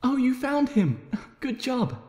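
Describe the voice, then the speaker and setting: Man doing a high-pitched gentle female pony. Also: sing-song, slow, reverberant, big-room sound, full character voice. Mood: supportive. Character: gentle female cartoon pony, sweet and timid.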